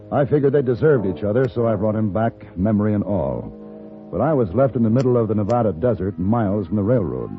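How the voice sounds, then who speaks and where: An actor playing a calm, wry American gunfighter in an old-time radio western, measured and plain-spoken in narration and dialogue. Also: male voice, lowish voice, natural voice. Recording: tinny old radio broadcast with music.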